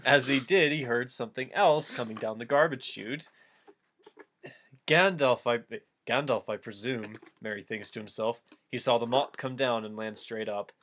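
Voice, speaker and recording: A man with a mid-pitched voice reads fan fiction aloud as a host. He moves between storybook-like narration and casual riffing commentary in an everyday conversational way. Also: moderate pace, fairly bright tone.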